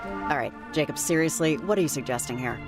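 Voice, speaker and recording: Casting: grounded, practical heroine, direct and unhurried delivery, naturalistic game dialogue. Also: female voice, low voice, over music. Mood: serious. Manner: measured, direct, matter-of-fact. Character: level-headed, practical woman named Riley.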